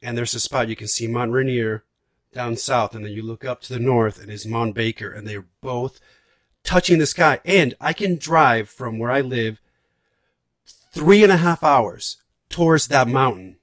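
A man's voice: mid-range voice, normal speed, a bit bright, no room sound, clean studio sound. A man in his forties from Puerto Rico chatting casually and expressively as a podcast host, with some swearing. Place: home room, on a video call. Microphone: large desk microphone, close.

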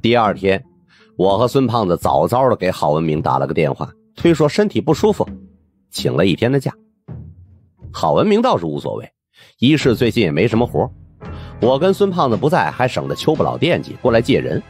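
A man narrating an audiobook in a colloquial, wry and expressive first-person storytelling style. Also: fairly low pitch, a little fast, soft background music.

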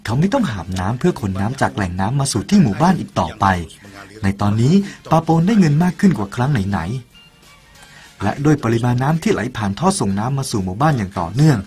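A man's voice: mid-range voice, big pitch swings, fairly quick. A man narrating a parable in an earnest, persuasive way and speaking directly to the listener. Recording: soft music behind the voice.

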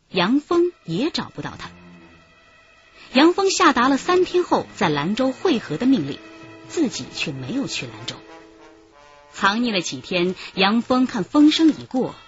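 A woman's voice: on the high side, huge pitch swings, normal speed. A woman delivering dramatic scripted narration for a radio crime drama. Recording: dull sound under music.

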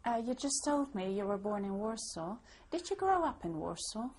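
A woman interviewer asking questions in a measured, formal way and spelling out names. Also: medium pitch, big pitch swings, a little mellow.

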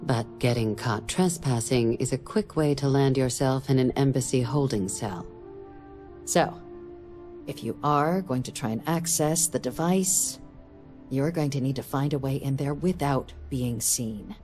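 An actress playing a composed woman diplomatic official in a game, giving a briefing in a measured, formal, dry way. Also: rumbling low, mellow, soft background music. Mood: businesslike. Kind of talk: scripted game dialogue, mission briefing.

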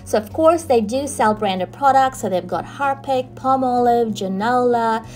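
A woman's voice: fairly high pitch, unhurried, darkish tone, soft background music. A young adult woman narrating a casual shopping tour as a vlogger, chatty and upbeat as she points out products and prices. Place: in a car on the motorway.

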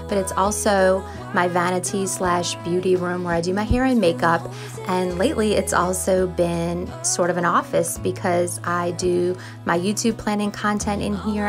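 A middle-aged American woman giving friendly, encouraging voiceover narration as a home and cleaning vlogger, in a confiding way. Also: on the low side. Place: voice-over recorded in a closet at home. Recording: music playing under the voice. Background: background music.

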